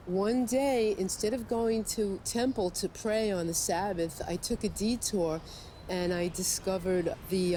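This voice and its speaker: A woman's voice: on the low side, mellow, slight background noise. An older woman from New York speaking frankly and thoughtfully in a reflective documentary interview. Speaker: interviewee recalling youth. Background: music.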